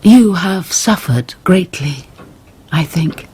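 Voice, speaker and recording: A woman's voice: on the low side, expressive, takes it slowly, a little mellow, slightly rough, full character voice. An actress playing an anxious, dignified noblewoman and mother in a radio drama, speaking formally and hesitantly. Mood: searching.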